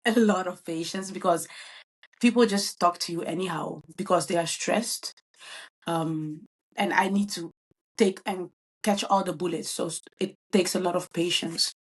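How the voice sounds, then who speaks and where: A woman's voice: lowish voice, husky. A bubbly woman. She answers interview questions casually, with frequent ums and uhs. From Netherlands. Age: 20s. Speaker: guest, event planner.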